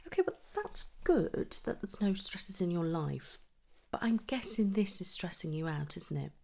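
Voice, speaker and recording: A soft-spoken woman in an ASMR medical roleplay, gently asking questions in a breathy voice that often slips towards a whisper. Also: on the low side, expressive. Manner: gentle, unhurried questioning with pauses for answers. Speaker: ASMR performer playing a trichologist.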